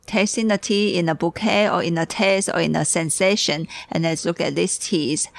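Tired gravelly-voiced woman. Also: fairly low pitch, no room sound. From Taiwan. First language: Taiwanese. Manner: halting, searching for words, giggly. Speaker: tea teacher. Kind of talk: guided tea tasting.

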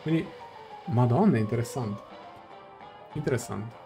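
A young man talks through a game on stream in a soft-edged, mellow voice. He is reflective and explanatory, chatting casually at a medium pitch, with light game music behind him. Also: moderate pace. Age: young adult. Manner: reflective, explanatory, thinking aloud. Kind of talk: live reaction commentary and translation, casual.

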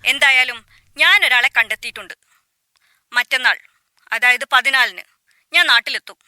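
Actress as an impatient daughter, firm, dismissive, high tense voice, dry room. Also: female voice, moderate pace, sharp tone, no echo. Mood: insistent. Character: brisk adult daughter living abroad, impatient. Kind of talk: radio drama acting.

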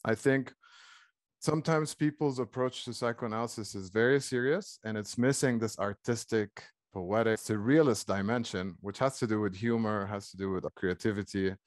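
A man's voice: on the low side, moderate pace, no room sound, clean studio sound. A man in his forties from Egypt, speaking thoughtfully in conversation over a video call. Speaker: author in conversation. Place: small book-filled room at home, video call.

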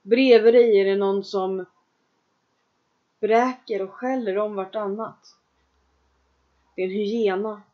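Calm woman guiding a trance meditation. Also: mid-range voice, highs cut off. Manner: calm, slow second-person instructions with long pauses. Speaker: meditation guide.

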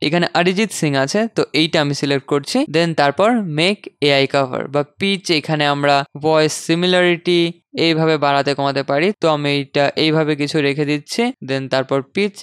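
A man hosting a casual software tutorial, conversational and dropping in English terms. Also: a little high, clear, resonant tone, no echo, studio quality. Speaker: tutorial host. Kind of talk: casual software tutorial screen-recording narration.

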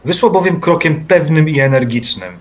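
A man's voice: a little high, very animated, moderate pace. Man narrating a literary audio drama.

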